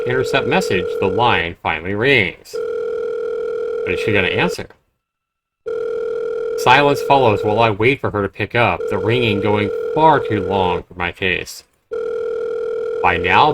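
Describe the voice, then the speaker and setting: A man livestreaming a horror game, reading dramatically and commenting casually, with loud game sound behind his voice. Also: fairly low pitch, heavy background noise. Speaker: game streamer. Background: horror game audio.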